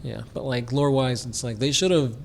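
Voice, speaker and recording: A man co-hosting a casual podcast about a tabletop war game, answering fan questions in a relaxed way, full of "like" fillers and quick laughs. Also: mid-range voice, gravelly. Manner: laid-back, many "like" fillers, laughs often. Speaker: podcast co-host.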